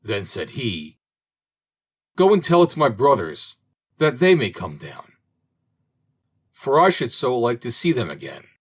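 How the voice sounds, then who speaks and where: A man reading an old fairy tale aloud as an audiobook narrator, in a slow, expressive manner. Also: mid-range voice, husky. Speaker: audiobook reader. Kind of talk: storybook narration.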